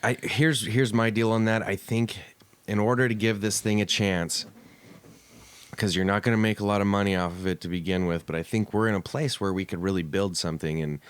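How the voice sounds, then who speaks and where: A man's voice: lowish voice, steady tone, fairly quick, smooth. A man from Oregon hosting a casual podcast, talking in a crude, profane and joking way. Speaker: podcast host.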